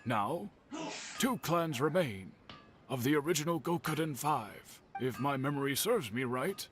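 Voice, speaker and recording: An actor playing a solemn, courteous swordsmith in an anime video game, telling old history in a measured, formal manner. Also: male voice, mid-range voice, animated, fairly bright tone, faint music behind. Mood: measured, informative.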